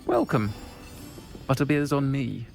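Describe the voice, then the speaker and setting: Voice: mid-range voice, very animated, measured pace, vocal fry, music in the background. A performer playing a British pub landlady in a video game, welcoming but tough, plain-spoken and firm.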